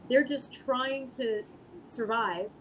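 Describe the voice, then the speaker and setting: A woman speaking as an interview guest, answering casually in an earnest, halting, thoughtful way. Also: a little high, a little hiss or hum, low-quality recording. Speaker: guest artist and animal rescue volunteer.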